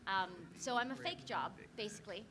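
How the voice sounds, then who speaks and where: Chatty voice actress from South Africa. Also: female voice, medium pitch, sing-song, brisk. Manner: chatty and self-deprecating, laughs often. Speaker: voice actress panelist. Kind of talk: casual panel chat. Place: convention hall panel. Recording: noisy convention hall mic.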